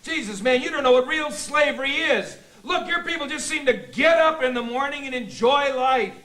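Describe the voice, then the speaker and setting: A man's voice: high voice, steady tone, moderate pace, bright, forceful tone. An actor playing a bitter, exasperated middle-aged husband who complains about his life in a comedy film. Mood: ranting.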